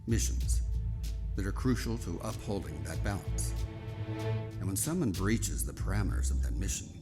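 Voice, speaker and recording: A man acting a stern admiral who heads a military council, formal and authoritative. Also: low-pitched. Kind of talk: screen drama acting.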